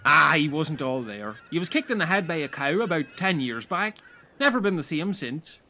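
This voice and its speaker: A man voicing Jimmy, an excitable show-off islander who rambles and boasts silly things. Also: on the high side, moderate pace, slight background noise, heavily acted. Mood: offhand.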